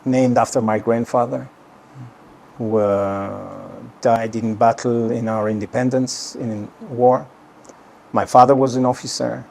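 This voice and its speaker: Halting Israeli-accented older man. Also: mid-range voice, fairly even intonation, creaky, a little mellow, a little hiss or hum. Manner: halting, frequent uh pauses. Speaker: retired general, interview guest. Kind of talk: interview answers. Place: at home.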